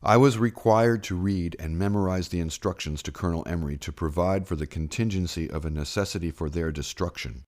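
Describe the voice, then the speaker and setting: Very deep-voiced man narrating a memoir. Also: a little mellow. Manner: measured storytelling delivery with formal period wording. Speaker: audiobook narrator. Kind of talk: first-person scripted narration of a historical memoir. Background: plucked guitar music.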